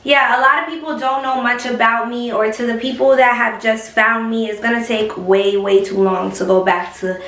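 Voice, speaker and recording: A woman in her twenties from New York talking casually and frankly to her viewers, with a high, squeaky voice. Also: steady tone, moderate pace, hardly any pauses, a bit bright, echoey room. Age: 20s. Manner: chatty, frank, slangy. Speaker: vlogger. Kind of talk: casual talk to camera.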